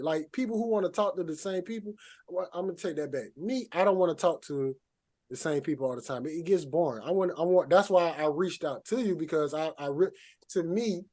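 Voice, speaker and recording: Male podcast host, fairly high-pitched, informal slangy talk, casual interview style. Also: a little fast, a little mellow.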